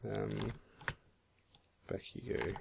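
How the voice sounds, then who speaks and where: A man with a croaky voice, casually thinking aloud as he walks through a 3D modeling software tutorial. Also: fairly low pitch, very slow, background noise. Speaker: 3D modeling instructor. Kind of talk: screen-recorded software tutorial.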